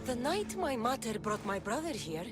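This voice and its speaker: An actress playing a blunt, sardonic young mercenary warrior of ancient Greece in a dramatic video game, questioning people bluntly. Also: female voice, on the high side, big pitch swings, normal speed. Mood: cold, accusing. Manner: blunt, probing. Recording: loud music behind the voice.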